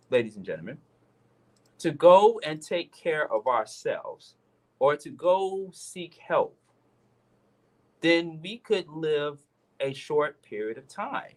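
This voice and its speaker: A man hosts a radio talk show. His pitch is fairly high, and it swings widely from line to line. He takes his time and speaks to the audience in an earnest, direct way. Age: 30s. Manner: earnest, addresses listeners directly.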